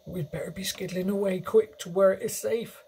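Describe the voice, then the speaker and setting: A man performs a friendly, cautious giant from a children's storybook. The voice sits higher, hushed and confiding, and the grammar is quaintly muddled, over faint room noise. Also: fairly even intonation, normal speed, vocal fry. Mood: cautious, urgent.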